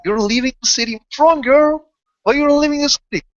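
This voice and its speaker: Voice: high voice, brisk, lo-fi sound, pitched above the performer's own voice, close to an everyday voice. A person roleplaying a blunt, protective, worried streetwise friend in a tabletop game, asking urgent questions. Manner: blunt, calls listener girl, urgent questioning.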